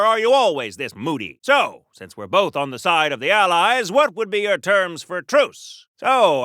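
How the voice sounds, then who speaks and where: An earnest, wholesome 1940s patriotic superhero for a video game. His voice sits high and swings widely in pitch, with a bright, brassy edge. He speaks politely and theatrically, like an old-time comic book soldier. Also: dry recording, studio quality. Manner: quaint, polite, theatrical, declamatory. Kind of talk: golden-age comic book hero game dialogue.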